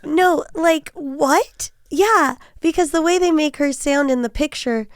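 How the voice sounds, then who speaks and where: A woman's voice: high-pitched, measured pace, no echo, clean studio sound. A woman in her thirties chatting casually as a podcast guest, with an incredulous, reactive manner. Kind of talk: casual chat.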